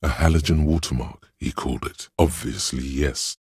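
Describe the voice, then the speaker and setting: An actor playing an inner voice of cold deductive logic in a video game, measured, deliberate and dryly condescending, with a gravelly, husky voice. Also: male voice, a bass voice, a little mellow, dry recording, studio quality, exaggerated voice. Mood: dry, analytical. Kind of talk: video game voice-over, scripted narration. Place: dry studio recording.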